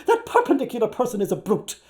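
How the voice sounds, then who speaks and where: Irish male actor for live literary reading; playful, theatrical, quick to switch character. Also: very high-pitched, wildly swooping pitch, a little mellow. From Ireland. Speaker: actor, reader. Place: live performance before an audience.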